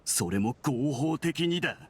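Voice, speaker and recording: An actor playing a bitter, sneering researcher turned criminal, sardonic and argumentative, in an anime-style Japanese game. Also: male voice, fairly high pitch, lively intonation, measured pace, breathy edge. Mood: bitter, defiant.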